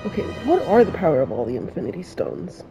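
A man's voice: high-pitched, wildly swooping pitch, gravelly, music in the background. A man with a cold and a congested voice, hosting a step-by-step game build tutorial as a voice-over. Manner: slow, plain instruction-giving voice-over.

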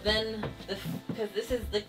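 A young woman vlogging casually. She thinks out loud and fills her talk with "like". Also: medium pitch, little pitch movement, moderate pace. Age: young adult. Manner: chatty, thinks aloud with many likes. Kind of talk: casual chat. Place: small wooden boat cabin, indoors. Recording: music playing under the voice.

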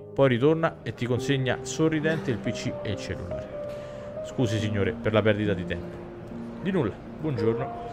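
Male game streamer, thirties, theatrical narrative reading, mid pitch, clear musical backing. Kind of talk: dramatic reading aloud of a visual novel with asides to chat.

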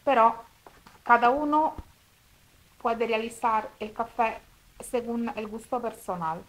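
A woman's voice: on the high side, slight background noise, low-quality recording. Italian woman explaining a recipe in careful Spanish. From Italy. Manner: careful, slightly halting non-native Spanish with restarts. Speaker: cooking video host. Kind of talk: step-by-step recipe demonstration. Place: home kitchen table.

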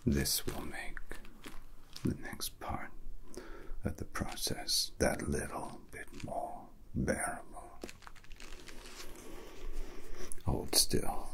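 Man playing a cold male villain, menacing ASMR roleplay, gravelly half-whisper, very slow deliberate delivery, calculating. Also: fairly bright tone. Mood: quietly menacing. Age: young adult. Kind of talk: soft-spoken ASMR roleplay.

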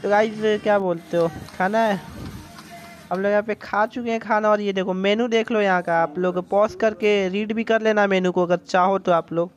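A man's voice: high voice, steady tone, normal speed, soft background music. A young man from India vlogging a night out at a restaurant, chatty and enthusiastic, talking straight to his viewers over a phone camera. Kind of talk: casual vlog narration. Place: outdoor restaurant terrace at night.

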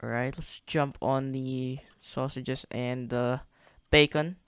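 A man's voice: mid-range voice, steady tone, slow, a bit bright, clean tone, no room sound. A young man hosting a gaming channel, casually narrating his play in a chatty, slangy way, thinking aloud as he goes. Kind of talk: casual gameplay commentary.

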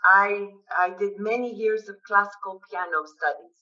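Bright-voiced woman on a dull video call. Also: medium pitch, steady tone, moderate pace. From United States. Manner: thoughtful, hesitant with many fillers, laughs often. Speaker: songwriter guest. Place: bright living room at home. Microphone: laptop webcam on video call.

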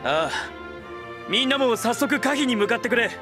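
A man acting an easygoing but earnest young army commander in a Japanese video game, with casual, rough-edged speech. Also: very high voice, expressive, normal speed. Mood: troubled. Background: background music.

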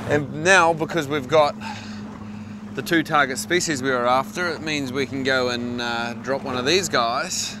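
A man's voice: mid-range voice, fairly even intonation, measured pace, noisy background. A man hosting a fishing show from a boat at sea, chatty and jokey, playfully dramatic, recorded on a lapel mic. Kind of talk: casual on-camera narration. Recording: music bed underneath.

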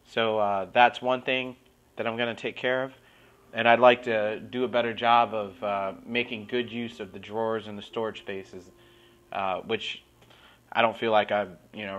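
A middle-aged man giving a relaxed, casual tour of his garage woodshop, talking easily with lots of "you know" and "uh", recorded on a camera across the room. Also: mid-range voice, highs cut off. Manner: relaxed, conversational, many fillers and "you know". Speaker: woodworking channel host. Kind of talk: casual shop tour walkthrough. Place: cluttered home garage woodshop, indoors. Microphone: wide-angle camera at a distance.

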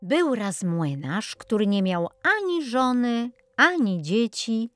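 A woman narrating a children's fairy tale as an expressive audiobook storyteller. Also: a little high, takes it slowly, no echo.

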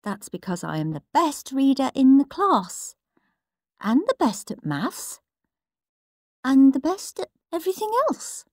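A woman's voice: sits high, big pitch swings, unhurried, darkish tone, no room sound, studio quality, exaggerated voice. A woman voicing a smug, prim goody-goody little boy reading his diary in an earnest sing-song for a storybook.

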